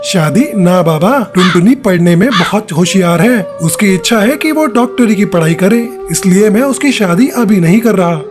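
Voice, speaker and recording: Man as cartoon farmer bird, protective father, high male voice, emphatic, stubborn, faint music behind. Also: lively intonation, normal speed, few pauses. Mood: concerned. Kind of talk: Hindi cartoon story dialogue.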